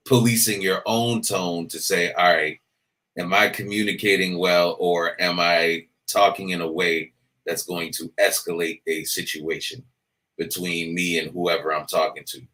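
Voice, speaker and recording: A man with a big, booming voice, speaking very low in pitch yet with a bright, forceful ring. He is a podcast guest in casual discussion and works through his points in a thoughtful, measured way. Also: fairly even intonation.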